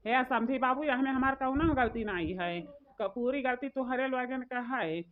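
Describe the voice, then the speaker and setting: A woman's voice: high-pitched, normal speed, a little hiss or hum, natural voice. A woman with a rural eastern Uttar Pradesh accent voicing a grieving, indignant village father in a comedy cartoon, lamenting and repeating himself. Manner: lamenting, repetitive. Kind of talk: dialect comedy cartoon dialogue. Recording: phone-like narrow lo-fi audio.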